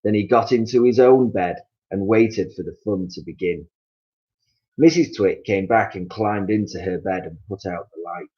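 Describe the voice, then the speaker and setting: A man reading a children's story aloud as an expressive narrator, on a slightly muffled recording. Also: fairly low pitch, normal speed.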